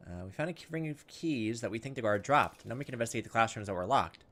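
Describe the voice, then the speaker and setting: A man's voice: mid-range voice, lively intonation, fast, background noise. A man doing gameplay commentary, casually thinking aloud and reading visual novel text as he goes. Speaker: video game playthrough host.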